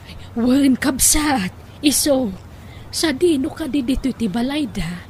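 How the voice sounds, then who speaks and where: A woman's voice: high-pitched, lively intonation, measured pace, a little mellow, slight background noise. A woman playing a humble, pleading older wife and grandmother with an Ilocano accent in an audio drama. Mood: polite.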